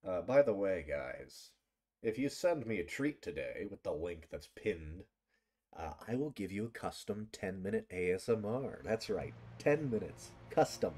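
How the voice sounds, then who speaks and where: A man's voice: low voice, lively intonation, measured pace, warm tone, breathy edge. A man chatting casually on a livestream in a playful, teasing manner, close on the mic. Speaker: streamer and voice actor.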